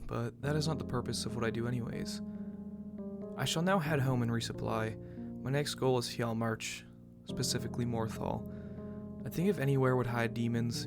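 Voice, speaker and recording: A man playing a solemn veteran vampire hunter in a measured, formal roleplay monologue. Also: mid-range voice, vocal fry, close to an everyday voice.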